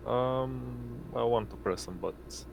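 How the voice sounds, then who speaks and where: A man's voice: medium pitch, slow, creaky, slight background noise, rough recording. A man playing a tabletop roleplaying game, chatting casually at the table.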